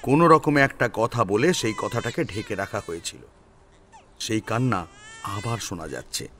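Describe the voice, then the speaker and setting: A man narrating a story as a dramatic audio storyteller, in an expressive, suspenseful manner. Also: on the low side, normal speed.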